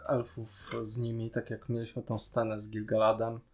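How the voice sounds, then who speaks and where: A man's voice: lowish voice, completely flat delivery, normal speed. A man, co-hosting a podcast and casually discussing a TV series. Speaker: podcast co-host.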